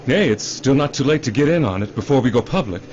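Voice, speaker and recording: A man's voice: mid-range voice, expressive, fast talker, a little mellow, close to an everyday voice. Actor as a glib, swooping male executive. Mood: cajoling. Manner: overfamiliar, salesman-like cajoling, repeats himself. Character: glib middle-aged male executive, defensive and self-justifying. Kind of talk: radio drama acting. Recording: dull radio drama recording, faint noise.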